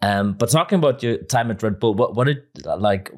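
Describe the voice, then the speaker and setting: Chatty podcast host, low voice. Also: male voice, expressive. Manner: chatty, laughs easily, quick interjections. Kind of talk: casual interview chat.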